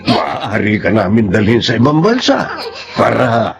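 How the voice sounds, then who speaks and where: Gravelly, coaxing old grandfather. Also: lowish voice, very animated, a little slow, music in the background, exaggerated voice. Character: controlling older grandfather, coaxing and self-justifying. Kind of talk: Filipino radio drama acting.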